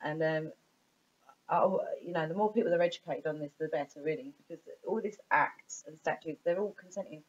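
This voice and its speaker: A woman speaks in a fairly low voice, as a caller pressing her point. She is insistent and halting, circling back over phrases, and the recording is a bit dull. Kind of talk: phone conversation, informal arguing of a point. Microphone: recording of a phone call. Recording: dull, muffled recording of phone call.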